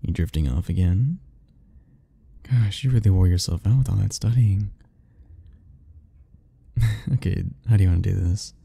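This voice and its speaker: A man's voice: low-pitched, normal speed, creaky, pauses often, mellow, breathy edge. A young man speaking a playful, teasing ASMR roleplay straight to the listener, laughing often between lines.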